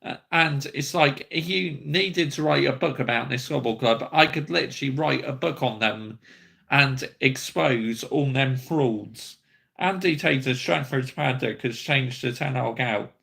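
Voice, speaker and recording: A man's voice: medium pitch, measured pace, creaky, sharp tone. A man, bluntly ranting and swearing as host of a football fan livestream. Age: 30s.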